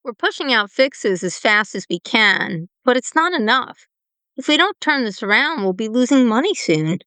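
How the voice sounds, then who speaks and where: A woman playing an earnest, determined change leader at a software firm in an amateur radio play, speaking in a measured, cooperative way. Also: fairly high pitch, very animated, clear, resonant tone, dry recording. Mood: concerned, determined.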